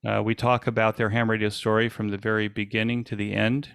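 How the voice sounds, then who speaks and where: Low gravelly man explaining informally. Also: flat delivery, brisk, fairly bright tone, dry recording, clean studio sound. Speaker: presenter and podcast host. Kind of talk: informal online presentation Q&A.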